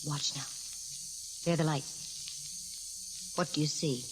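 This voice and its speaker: An actress playing a brisk, dry, wisecracking female private detective in 1940s radio style. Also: low voice, steady tone. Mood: confident, brisk. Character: wisecracking, cool-headed female private detective narrating her cases. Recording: hissy, noisy 1940s radio recording.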